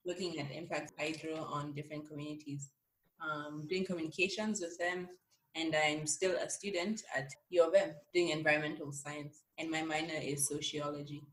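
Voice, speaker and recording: A woman's voice: deep voice, level tone, normal speed. A young woman, introducing herself conversationally as a podcast co-host. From Nairobi, Kenya. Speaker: student, guest co-host. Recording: echoey, distant mic, faint noise.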